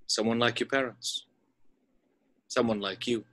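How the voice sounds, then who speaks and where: A man acts out an elderly wizard headmaster, wise and gentle. He sits at a medium pitch and speaks deliberately, leaving weight in his pauses, as in a fantasy script read. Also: fairly even intonation, close to an everyday voice. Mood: wry, solemn. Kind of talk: table read of a fantasy screenplay.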